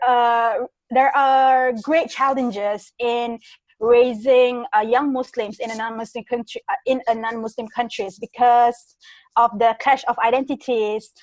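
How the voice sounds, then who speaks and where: Woman caller, hesitant questioner, higher voice, dry video-call sound. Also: fairly even intonation, a little slow, dry recording, low-quality recording. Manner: hesitant, many uhs. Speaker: member asking a question.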